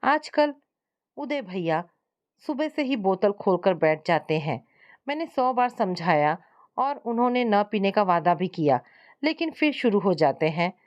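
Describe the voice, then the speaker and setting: A woman's voice: mid-range voice, sing-song, measured pace, lo-fi sound. A woman narrator voicing a frank, concerned younger woman in a family story, admonishing and earnest. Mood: sorrowful, worried. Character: concerned sister-in-law, frank and sorrowful, adult woman.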